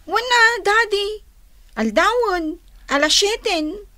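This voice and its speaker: A woman's voice: very high-pitched, animated, slow pace, sharp tone, no room sound, heavily acted. A woman voicing a young schoolchild in a comic radio drama, chirpy and teasing, with a childlike sing-song delivery and an Ilocano accent.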